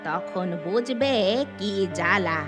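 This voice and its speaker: Cartoon old aunt: bossy village elder, slow scolding drawl, music underneath. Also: female voice, mid-range voice, expressive. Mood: boastful, vengeful. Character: old village aunt, stern, boastful and scheming. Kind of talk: Bengali cartoon story acting.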